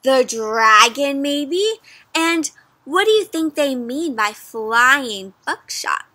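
A woman in her twenties doing an interactive storybook read-aloud for young children, animated and sing-song, often asking questions. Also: high-pitched, slow. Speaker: children's storybook reader and host. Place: outdoors in a leafy yard.

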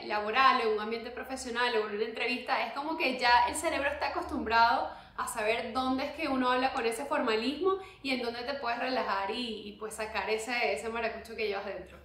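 Calm venezuelan woman in her 20s, high pitched, on a video call, roomy sound. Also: a little fast, few pauses. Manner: calm, soft-spoken. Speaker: guest, pageant queen. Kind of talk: casual interview. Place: home room, on video call. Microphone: earphone mic on video call.

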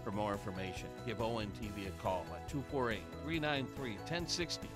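A man reads a scripted promo announcement at an even, steady pace. Clear music plays behind his voice the whole way through. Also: lowish voice, a little fast.

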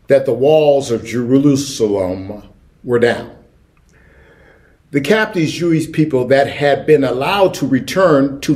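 A middle-aged American man preaching a sermon as a pastor, emphatic and given to repeating key phrases. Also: on the high side. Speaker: senior pastor. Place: church sanctuary, indoors.

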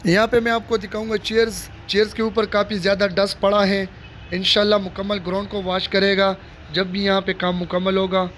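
A man's voice: sits high, flat delivery, brisk, fairly bright tone. A man, giving a casual walking tour of a stadium under construction to viewers, filmed on a handheld phone outdoors. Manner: addresses viewers directly, repetitive showing phrases. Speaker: vlogger giving construction updates. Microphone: handheld phone camera held by the speaker. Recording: faint outdoor noise.

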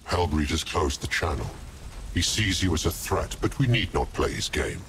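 A man playing a sinister, scheming zealot advisor to a villain in a game cutscene, with deliberate, portentous delivery. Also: low-pitched, expressive, noisy background. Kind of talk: dramatic video game cutscene acting. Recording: music under the voice.

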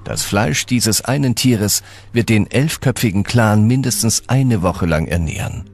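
Low-voiced male documentary narrator over music. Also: measured pace, a little mellow. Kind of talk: scripted dramatic documentary narration.

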